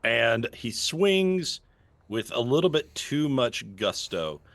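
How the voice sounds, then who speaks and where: Slow, lively-voiced man as game master. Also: mid-range voice, no room sound. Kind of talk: narrating a tabletop game.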